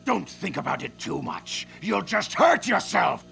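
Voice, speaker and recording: A man voicing a sardonic, menacing monster overlord in a video game, gravelly, sneering and theatrical. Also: high voice, big pitch swings, a little slow, heavily acted. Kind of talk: video game villain acting.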